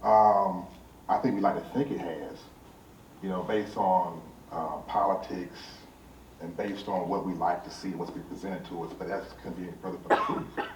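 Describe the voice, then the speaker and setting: A man speaking reflectively as an artist on a panel, halting often to pause and search for words. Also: low voice, steady tone, a little mellow. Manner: halting, with many pauses and hesitations. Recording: roomy, distant mic, faint noise.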